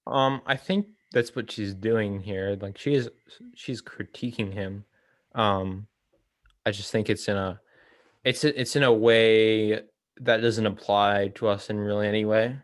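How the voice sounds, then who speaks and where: A young man co-hosting a casual discussion, talking in a hesitant, halting way. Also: fairly low pitch, steady tone, no echo. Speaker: co-host of a reading discussion.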